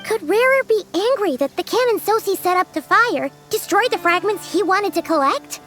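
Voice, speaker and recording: Very high, squeaky girlish sidekick. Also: lively intonation, moderate pace, fairly bright tone, faint music behind. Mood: earnest, thoughtful. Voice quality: squeaky, childlike. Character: small girlish fairy-like sidekick, chatty and earnest. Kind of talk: video game English dub acting.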